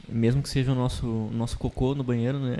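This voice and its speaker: A man's voice: mid-range voice, level tone, a little fast, mellow. A man in a casual podcast discussion, thinking aloud and seeking agreement with tag questions. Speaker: podcast co-host.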